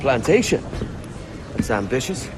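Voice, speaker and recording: A roguish young pirate captain from a video game, in a middling pitch. He talks with cocky, teasing wit. Noisy ambience is clearly heard around him. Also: big pitch swings, unhurried. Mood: wry, teasing. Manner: wry, teasing, colloquial. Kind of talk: video game cutscene acting.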